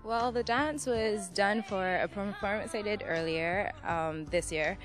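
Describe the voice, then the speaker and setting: A woman's voice: mid-range voice, a little slow. A young woman dancer describing her routine in a backstage interview, speaking hesitantly into a handheld mic. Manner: hesitant, with light laughs. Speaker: dancer in talent show. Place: convention backdrop, handheld mic. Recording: music playing behind.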